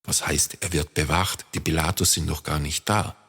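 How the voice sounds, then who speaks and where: A man's voice: a bass voice, fairly even intonation, normal speed, pushed and bright, breathy edge, heavily acted. A man doing a plucky little bear. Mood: confident. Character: brave cheerful little bear. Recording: long reverb.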